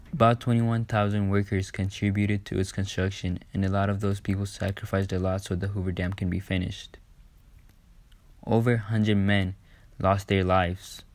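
A man reading out a scripted newsreel narration. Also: deep voice. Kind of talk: scripted narration read aloud.

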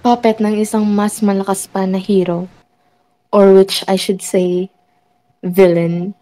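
A woman's voice: mid-range voice, level tone, unhurried, warm tone, close to an everyday voice, rough recording. A woman playing a gloating fake superhero with evil plans in a game roleplay, smug and taunting. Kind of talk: scripted game roleplay acting.

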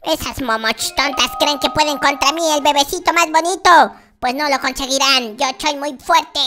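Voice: high voice, moderate pace, bright, forceful tone. A gaming YouTuber voicing a boastful, whiny noob baby boy in exclamatory, babyish video game roleplay.

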